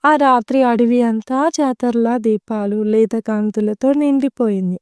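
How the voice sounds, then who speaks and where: A woman narrating a scripted children's story in clear, simple phrasing addressed to young listeners. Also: fairly high pitch, a little slow, darkish tone, no room sound, clean studio sound.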